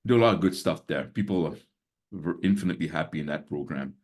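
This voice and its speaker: A man's voice: rumbling low, fast. A man in his sixties who grew up in Singapore, hosting a webinar on a video call in a chatty way, full of fillers and small chuckles. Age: 60s. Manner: chatty, many fillers, chuckles between points. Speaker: webinar host and business mentor. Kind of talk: casual live webinar talk.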